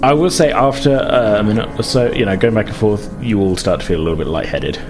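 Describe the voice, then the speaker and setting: Low-voiced man running a tabletop game. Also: fast talker. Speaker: game master. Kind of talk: improvised tabletop game narration. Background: music under the voice.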